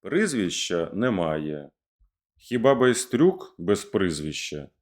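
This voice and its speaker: A man's voice: sits low, sing-song, takes it slowly, heavily acted. A man voicing a grieving, vengeful young male rebel in a declaimed verse reading. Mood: impassioned. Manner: impassioned, declamatory.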